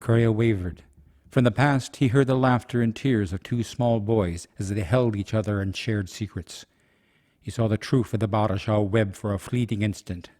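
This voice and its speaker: A man's voice: lowish voice, fairly even intonation, moderate pace, darkish tone. A man giving scripted, dramatic narration as the storyteller of a fantasy radio play.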